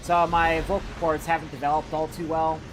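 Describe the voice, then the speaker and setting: A man's voice: a little high, flat delivery, fairly quick, music in the background, low-quality recording. A young man with a youthful-sounding voice, chatting casually and rambling as he livestreams a video game, informal and free with swearing. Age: young adult. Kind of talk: casual gaming commentary and chat.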